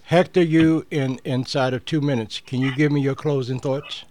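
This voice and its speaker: A man's voice: medium pitch, steady tone, normal speed, darkish tone. A man hosting an interview, moderating a discussion with short acknowledgements and prompts to guests. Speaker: interview host.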